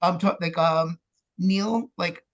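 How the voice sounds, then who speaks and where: A man's voice: high voice, steady tone, takes it slowly, dull audio. A man in a casual conversation about theatre, explaining his thoughts earnestly.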